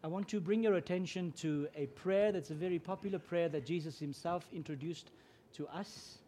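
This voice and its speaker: A man's voice: on the high side, normal speed, slight background noise. Afrikaans-man preaching. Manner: earnest, grows louder and more emphatic when preaching. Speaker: guest preacher. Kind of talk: sermon.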